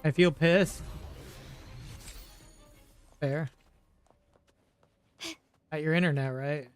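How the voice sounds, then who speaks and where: A man's voice: fairly high pitch, fairly even intonation, extremely slow, smooth. A man chatting casually as he streams a video game, with a grumbling, profane way of talking. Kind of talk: casual gameplay chat. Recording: game music behind the voice. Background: video game music.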